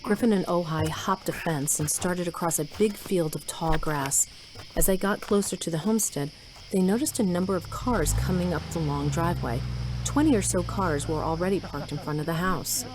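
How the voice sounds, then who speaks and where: A woman's voice: lowish voice, normal speed, noisy background. A woman reading scripted story narration for an audio drama.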